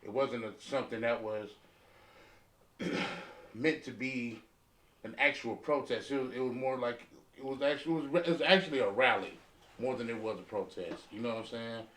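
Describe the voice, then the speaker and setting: A man's voice: mid-range voice, moderate pace, a bit bright. American man in a very echoey room. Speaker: podcast panelist. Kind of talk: casual round-table discussion. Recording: roomy echo, distant from mic.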